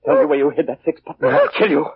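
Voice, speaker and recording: An actor playing a desperate, guilt-crazed husband who kills his wife, overwrought and halting, in 1940s radio style. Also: fairly high pitch, wildly swooping pitch, breathy edge, exaggerated voice. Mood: furious, menacing. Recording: tinny, narrow 1940s radio recording.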